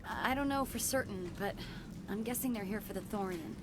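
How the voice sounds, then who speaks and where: A woman's voice: medium pitch, normal speed, background noise, natural voice. A woman playing an anxious, apologetic young research assistant in a video game, speaking hesitantly and earnestly. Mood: anxious, uneasy. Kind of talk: video game dialogue acting.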